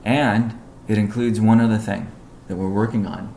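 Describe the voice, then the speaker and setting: A man giving a business briefing on a conference call as a company executive, in a measured, halting way with technical jargon. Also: lowish voice, fairly even intonation, vocal fry, warm tone. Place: conference call, echoey room. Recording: echoey room, faint background noise.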